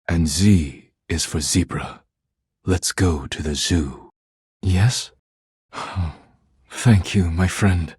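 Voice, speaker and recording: A man playing a proud, thin-skinned poetic living dish in a video game, speaking in a formal, deliberate, grave manner. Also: low-pitched, darkish tone, breathy edge, no room sound, studio quality, full character voice. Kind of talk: video game character acting.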